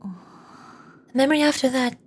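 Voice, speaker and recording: An actress playing a mysterious woman in a sci-fi fantasy game, speaking in a deliberate, theatrical way. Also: high voice, slightly rough. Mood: baffled, suspicious. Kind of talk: dramatic video game voice acting.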